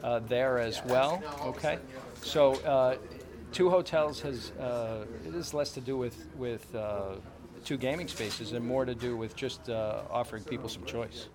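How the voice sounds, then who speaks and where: A man's voice: medium pitch, a little slow. A man answering reporters' questions at a press conference, hesitant, with many filler words. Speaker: casino proposal executive answering press. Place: crowded press conference room. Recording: press conference with crowd noise.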